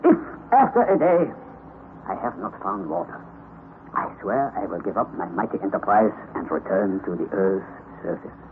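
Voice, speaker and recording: An actor playing a stubborn, grandiose elderly professor-explorer, declaiming in a vintage radio drama. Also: male voice, low-pitched, big pitch swings, normal speed. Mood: commanding. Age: older. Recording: tinny old radio broadcast, faint hiss.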